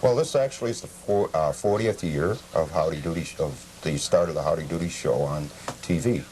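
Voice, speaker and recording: Casting: male collector guest, extremely low voice, halting factual answers, faint tape noise. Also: darkish tone, low-quality recording. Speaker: collector being interviewed. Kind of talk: informal explanatory interview talk.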